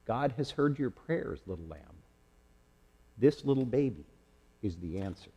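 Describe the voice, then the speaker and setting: A man voicing a gentle young mother in a children's nativity story, speaking softly and reassuringly. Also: lowish voice, big pitch swings, unhurried, slightly rough, highs cut off, exaggerated voice. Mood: tender. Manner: soft, tender, reassuring. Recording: echoey hall with long reverb.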